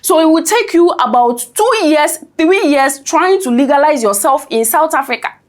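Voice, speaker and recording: A woman's voice: high voice, animated, normal speed. A woman commenting on a news report in a conversational way, often checking in with "right?".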